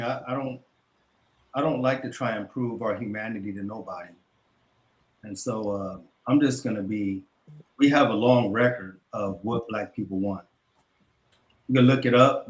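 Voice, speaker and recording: A man on a debate panel, explaining his argument in a measured, lecturing way. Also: mid-range voice, roomy sound, poor audio.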